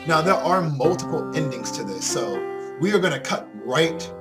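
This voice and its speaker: A man's voice: on the high side, big pitch swings, normal speed. A man hosting a let's play, reading game narration aloud and commenting casually in an earnest, sentimental way. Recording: loud game music behind voice. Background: game music.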